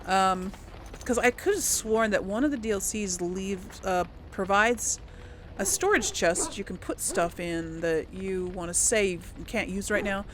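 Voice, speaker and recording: A woman doing casual let's play commentary, musing aloud in a halting way. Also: mid-range voice, a bit bright, a little hiss or hum. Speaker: gaming channel host.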